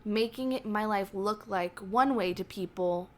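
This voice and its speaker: A woman's voice: medium pitch, a little slow. A young woman, a mother, co-hosting a podcast in easygoing chat that often trails off. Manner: relaxed, agreeable, trails off. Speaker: podcast co-host. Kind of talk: casual chat.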